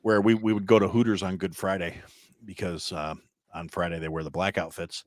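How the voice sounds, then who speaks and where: A man's voice: fairly even intonation, a little fast, dry recording, clean studio sound. Rambling man spinning stories. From Wisconsin. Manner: rambling storyteller, acts out voices with big pitch jumps. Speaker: podcast guest. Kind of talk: casual chat.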